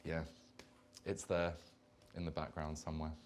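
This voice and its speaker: A man's voice: extremely low pitch, little pitch movement, normal speed, darkish tone. A man raised in London, a band's singer, answering fan questions in rambling, self-correcting talk on a concert stage. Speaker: band singer. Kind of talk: live Q&A chat.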